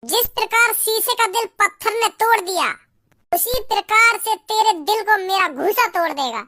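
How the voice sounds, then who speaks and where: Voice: extremely high pitch, moderate pace, pushed and bright. A performer voicing a cheeky, combative little village kid in a comic cartoon, arguing mockingly in a rural Braj accent. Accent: rural Braj, north India. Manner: mocking, argumentative. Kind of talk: comic Hindi cartoon dialogue.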